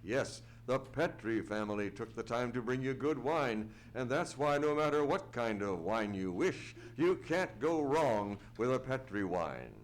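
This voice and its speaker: A man playing a genial, chatty radio announcer delivering a 1940s-style sponsor pitch in a folksy salesman's manner. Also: lively intonation, unhurried, few pauses, close to an everyday voice. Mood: selling, assured. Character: Mister Gumby, genial male radio show announcer. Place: theatre stage, live radio play.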